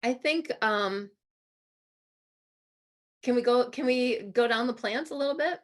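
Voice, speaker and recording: A woman with a hoarse voice co-hosting an online interview, asking questions in a conversational, circling and halting way. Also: a little high. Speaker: co-host and interviewer. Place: remote video call.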